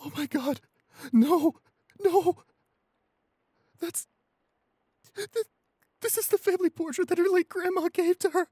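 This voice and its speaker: A high, tearful young male voice, close and dry, acted by a man as a shy boyfriend in an audio roleplay. He is panicked and self-blaming, stammering and repeating himself in distress. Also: unhurried, pauses often, mellow, no echo. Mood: horrified panic.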